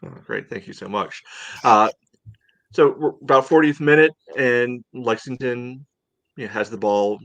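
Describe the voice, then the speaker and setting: A man hosting a soccer podcast, chatting casually through match analysis in a halting way full of filler phrases. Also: medium pitch, vocal fry, fairly bright tone, no echo. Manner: halting, peppered with "you know".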